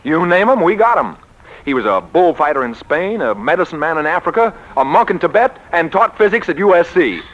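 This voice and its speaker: Excitable american publishing boss, swoops all over, grandiose, muffled 40s radio comedy. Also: male voice, fairly high pitch, a little fast. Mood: boastful. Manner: theatrical, emphatic, grandiose. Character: excitable, bombastic publishing executive, grandly overselling. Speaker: radio drama actor. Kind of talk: 1940s radio comedy acting. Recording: muffled 1940s radio recording.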